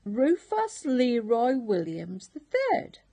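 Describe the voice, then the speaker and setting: Woman doing a storybook pig boy, earnest, polite, raised pitch, husky. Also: wildly swooping pitch, slow, mellow, full character voice. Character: eager little boy pig who wants to be a pirate.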